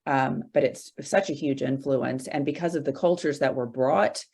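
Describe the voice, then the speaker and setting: A woman in her forties from Arkansas, a guest in an interview, talking slowly with many fillers and restarts. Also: rumbling low, vocal fry, a little mellow, husky. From Arkansas, USA. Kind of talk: casual interview conversation.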